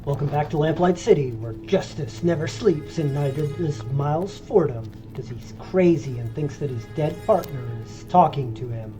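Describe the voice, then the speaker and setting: A man's voice: on the high side, normal speed, few pauses, mellow, rough recording. Stuffy-nosed man streaming a game. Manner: chatty and joking, rambling recaps. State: congested, stuffy and phlegmy. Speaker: let's play streamer. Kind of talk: casual gameplay commentary. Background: game music.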